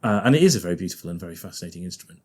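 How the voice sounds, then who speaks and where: A man's voice: low-pitched, lively intonation, racing, darkish tone, husky. A man with a Liverpool accent, a guest organist chatting casually on a podcast, often laughing and thinking aloud.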